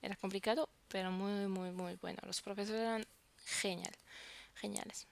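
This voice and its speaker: A woman's voice: medium pitch, fairly even intonation, normal speed, gravelly. A woman whose first language is French, vlogging casually to camera and searching for words as she talks.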